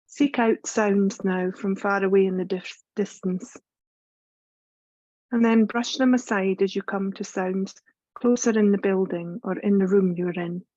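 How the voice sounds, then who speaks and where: A woman yoga teacher narrates a guided meditation. Her voice is mellow and relaxed at a medium pitch, with soothing, unhurried instructions. The recording is dry and a little dull. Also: no echo.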